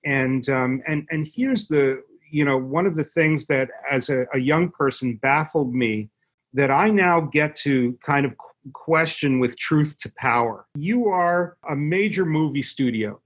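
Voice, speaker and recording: Man explaining thoughtfully, halting and emphatic. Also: fairly high pitch. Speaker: interview guest, media company executive. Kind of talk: conversational expert explanation.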